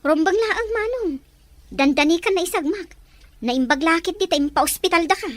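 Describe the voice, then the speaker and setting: A loyal, concerned younger sibling in a radio family drama, played by a woman. The voice is high and carries an Ilocano accent. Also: normal speed, dry recording. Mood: earnest, supportive.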